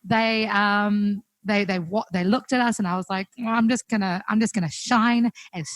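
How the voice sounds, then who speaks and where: A woman's voice: medium pitch, fairly even intonation, moderate pace, no room sound. Chatty New Zealand woman, dry sound. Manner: chatty, frequent fillers and laughs. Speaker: guest musician. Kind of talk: casual chat on a call. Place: home, recorded remotely.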